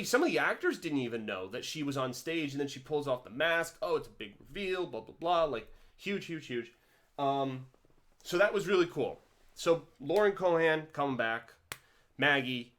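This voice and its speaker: A man giving a casual commentary vlog, rambling with many restarts and swinging his pitch and loudness animatedly. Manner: rambling, with frequent restarts and stumbles. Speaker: vlogger reviewing a TV series.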